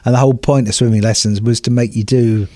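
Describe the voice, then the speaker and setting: A man's voice: medium pitch, steady tone, quick pace, warm tone, no echo, studio quality. A middle-aged British man co-hosting a podcast, chatting casually and wryly as he reminisces. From United Kingdom. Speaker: podcast co-host. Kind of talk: casual nostalgic chat.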